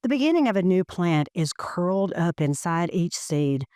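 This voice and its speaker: A woman reads a children's educational picture book aloud in measured, deliberate phrasing. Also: fairly low pitch, sing-song, mellow, smooth, dry recording, studio quality.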